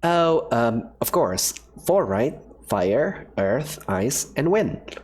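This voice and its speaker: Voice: mid-range voice, big pitch swings, very slow, darkish tone, heavily acted. A voice actor playing a cautious young male student who talks in a hesitant, worried, stammering way. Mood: uneasy. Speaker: player character in a fan visual novel.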